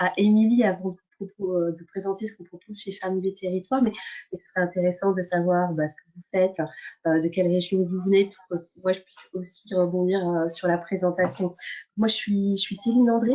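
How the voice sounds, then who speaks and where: A middle-aged woman making an unscripted introduction as an association director, in hesitant, halting phrases. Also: on the low side, little pitch movement, dark tone. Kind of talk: unscripted presentation. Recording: dull, muffled lo-fi audio.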